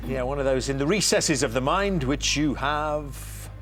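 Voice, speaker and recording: A man's voice: medium pitch, a little slow, a bit bright, soft background music. A man hosting a television quiz show, upbeat and teasing, reading questions and introducing teams.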